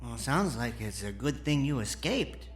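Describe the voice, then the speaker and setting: A man playing an excitable, kindly amateur inventor in a radio drama, stammering and folksy. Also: mid-range voice, normal speed, over music, lightly acted. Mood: earnest. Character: excitable, kindly amateur inventor, male former teleportation engineer.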